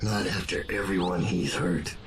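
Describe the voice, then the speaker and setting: An actor playing a sick old master assassin in a video game, gravelly, grim and weary, with heavy deliberate phrasing. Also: male voice, sits low, background noise, natural voice. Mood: bitter, accusing. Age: older. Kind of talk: dramatic video game cutscene acting.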